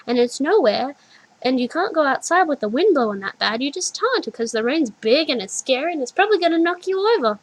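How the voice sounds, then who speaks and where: A woman voicing a small toddler girl who is earnest and gentle, speaking in simple childlike words. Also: sits high, expressive, a little fast, voice pushed up, rough recording. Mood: explaining.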